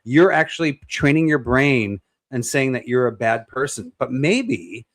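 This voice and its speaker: A man's voice: medium pitch, sing-song, measured pace, fairly bright tone, no room sound. A man on a panel, talking in an encouraging, coaching way. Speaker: panel member. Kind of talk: casual panel talk.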